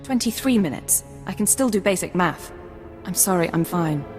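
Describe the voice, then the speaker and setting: An actress playing a precise, determined intelligence handler, speaking in a controlled, businesslike way in a video game. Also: female voice, lowish voice, animated, moderate pace, in a large hall, over music, lightly acted. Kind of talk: video game drama acting.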